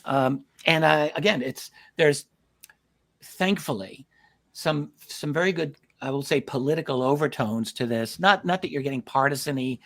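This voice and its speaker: A man hosting a conversational interview, with halting, measured phrasing. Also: on the high side. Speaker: talk show host, interviewer.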